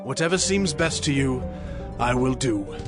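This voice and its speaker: A man's voice: mid-range voice, lively intonation, unhurried, music in the background, close to an everyday voice. A man playing a caring, resolute king who speaks solemnly in a dramatized scripture reading. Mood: solemn resolve.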